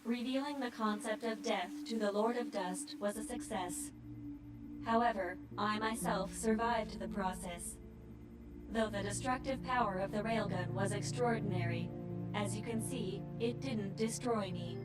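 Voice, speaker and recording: Game role: female military AI played by a woman, calm, exact, dryly polite; distant, echoing delivery over loud score. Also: mid-range voice, close to an everyday voice. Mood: composed, reassuring. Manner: measured, formal, analytical. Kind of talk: scripted game cutscene dialogue. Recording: roomy distant voice under loud music.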